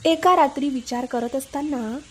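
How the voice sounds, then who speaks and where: A woman's voice: sits high, moderate pace. A woman hosting and narrating a scripted children's story. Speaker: storyteller, channel host.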